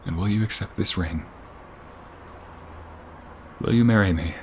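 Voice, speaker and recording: Man acting a very low-voiced, tender boyfriend. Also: unhurried, a little hiss or hum. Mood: earnest, pleading. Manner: intimate, earnest, often hushed and breathy. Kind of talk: ASMR romantic boyfriend roleplay.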